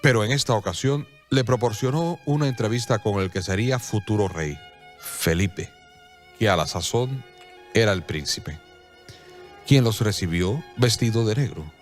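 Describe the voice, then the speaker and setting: A man narrating a scripted radio drama as a measured, solemn storyteller. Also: fairly low pitch.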